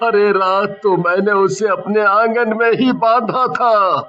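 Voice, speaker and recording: Worried village husband, male actor, bedtime story: high voice, slow grumbling delivery, plaintive and scolding. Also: steady tone, a little hiss or hum. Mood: upset, scolding. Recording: muffled lo-fi audio with music.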